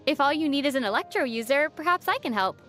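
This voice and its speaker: A woman playing a young female traveler in a video game, gently teasing and reassuring, with a playful, dry manner. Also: sits high, animated, fast, faint music behind. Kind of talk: video game character dialogue.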